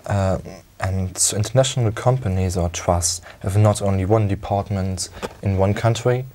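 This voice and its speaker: Brief: junger Deutscher, tiefe dunkle Stimme, stockendes Prüfungsenglisch. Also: male voice, low-pitched, moderate pace, dark tone, slight background noise. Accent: German. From Germany. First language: German. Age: young adult. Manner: hesitant, many ums and restarts. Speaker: exam candidate. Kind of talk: spoken exam answers.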